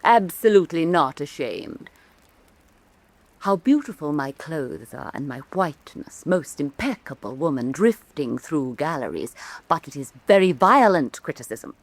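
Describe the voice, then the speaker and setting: A woman's voice: low-pitched, sing-song, unhurried, no echo, heavily acted. An actress playing an elegant, insinuating woman art critic of Renaissance Venice, arch and mannered, in a theatrical radio drama. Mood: proud, intense. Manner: arch, mannered, lingering, condescending.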